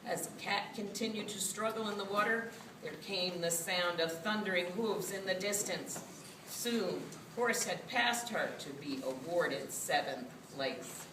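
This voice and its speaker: A woman reading a children's story as scripted narration, with an expressive storytelling delivery. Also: fairly low pitch, unhurried. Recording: roomy, distant mic, faint noise.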